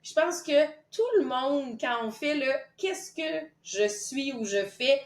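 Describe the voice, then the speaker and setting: A woman's voice: high voice, lively intonation, slow pace. A woman with a Québécois accent hosting a casual podcast, chatty and enthusiastic, with many fillers and restarts. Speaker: podcast host. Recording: roomy echo.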